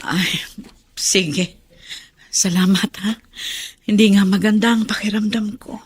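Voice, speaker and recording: A woman's voice: medium pitch, steady tone, slow pace, husky. A woman playing a weary, forgiving, worried mother in Filipino radio drama, sighing and emotional. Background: music under the voice.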